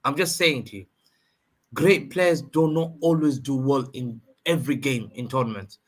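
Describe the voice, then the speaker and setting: A man's voice: medium pitch, moderate pace. A man, probably in his thirties, arguing emphatically as a guest in a heated football debate on a livestream, often repeating phrases for emphasis.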